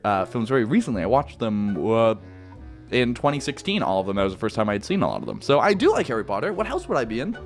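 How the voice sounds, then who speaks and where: A young Canadian man chats casually through viewer questions, his pitch jumping up and down as he talks. He wanders off and corrects himself often, all over quiet background music. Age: young adult. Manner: rambling, self-correcting, laid-back. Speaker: YouTuber answering viewer questions. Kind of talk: casual Q&A chat.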